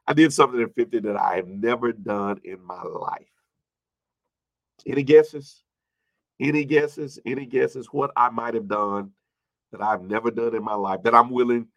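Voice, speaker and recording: A man in his early fifties speaking as a pastor in an informal sermon, repeating phrases for emphasis and moving between loud and hushed delivery. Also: mid-range voice, gravelly, no room sound. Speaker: pastor addressing an online congregation. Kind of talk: informal sermon with personal anecdotes and replies to viewer comments.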